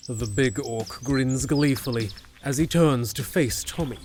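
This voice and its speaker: A man's voice: mid-range voice, a little slow, over music. A man narrating a scripted fantasy audio drama in a descriptive storytelling manner.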